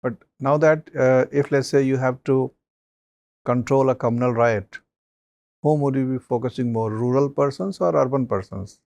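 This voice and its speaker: A man, a mock interview panelist giving measured advice with many pauses and fillers. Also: medium pitch, vocal fry, darkish tone, no room sound, highs cut off.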